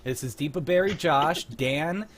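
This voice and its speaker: A man's voice: fairly high pitch, measured pace, a bit bright. A man hosting a livestreamed script reading with casual, unscripted chat.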